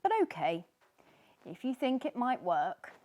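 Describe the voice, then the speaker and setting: A woman voicing a lonely, timid young male fish in a storybook, plaintive and expressive. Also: mid-range voice, unhurried. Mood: nervous.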